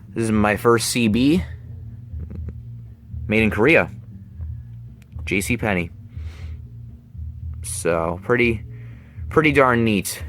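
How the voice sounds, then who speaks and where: A man giving a casual hands-on demonstration in a halting way, often repeating phrases, recorded at home on a handheld camera. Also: on the low side, a little hiss or hum. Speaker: video maker showing a gadget. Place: carpeted room at home. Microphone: handheld camera mic.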